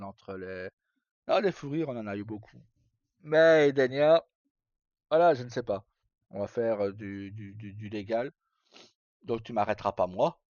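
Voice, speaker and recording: A man's voice: lowish voice, a little mellow, dry recording, dull audio. A man hosting a livestream, chatting informally and casually with some mild swearing. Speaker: livestream host. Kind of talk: casual chat.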